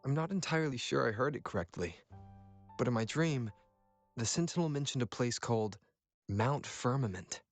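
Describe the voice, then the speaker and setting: A man voicing a calm, earnest young hero in a video game, speaking in a measured, reflective way. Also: mid-range voice, soft background music. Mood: thoughtful, earnest.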